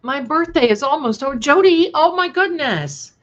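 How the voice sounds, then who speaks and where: A woman's voice: high voice, expressive, moderate pace, fairly bright tone, highs cut off. A woman in her sixties hosting a casual livestream, chatting affectionately with listeners and using endearments. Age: 60s. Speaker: livestream host. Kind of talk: casual live chat with viewers.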